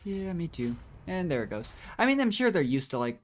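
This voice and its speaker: Streamer: mid-pitched, casual, chatty, thinking aloud while playing. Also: very animated, brisk. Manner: chatty, musing, trailing asides. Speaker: game streamer. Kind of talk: casual live game commentary.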